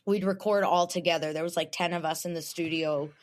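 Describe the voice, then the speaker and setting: Young woman, raspy voice, talkative podcast guest, frequent laughs. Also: lowish voice, steady tone, brisk. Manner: chatty, laughs often, restarts. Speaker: guest voice actor. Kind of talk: casual podcast chat.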